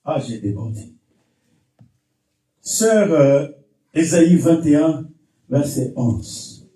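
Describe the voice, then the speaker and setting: A man with a Congolese French accent preaching a fervent sermon, emphatic and repetitive, often shouting his exhortations. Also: a little high, lively intonation, extremely slow. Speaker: pastor preaching. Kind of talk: fervent Pentecostal-style sermon with testimony and call-and-response. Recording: echoey church PA, distant, music behind.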